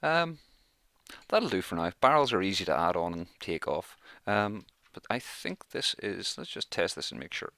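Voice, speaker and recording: A man records a casual let's play, thinking aloud as he goes. His voice is fairly low and bright, with a crisp forward edge. The sound is close and dry, with little room in it. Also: expressive, normal speed, dry recording. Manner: chatty, thinks aloud while playing. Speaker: gaming YouTuber, let's play host.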